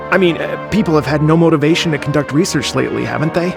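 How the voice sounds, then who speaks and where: A man's voice: a little high, fast talker, vocal fry, music in the background. A man voicing an easygoing young male researcher in a game, chatty but hesitant and stammering. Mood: evasive. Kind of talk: game voice acting.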